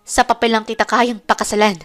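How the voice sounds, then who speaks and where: A woman's voice: fairly high pitch, flat delivery, fairly quick, fairly bright tone, soft background music, natural voice. A woman voicing a lovelorn, faithful young man in a romance audio drama, earnest and firm. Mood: firm, apologetic.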